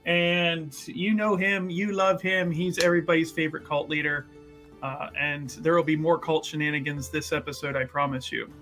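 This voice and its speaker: A man's voice: fairly high pitch, little pitch movement, normal speed. A man introducing himself casually as a player on a tabletop roleplaying stream, joking and playful. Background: music.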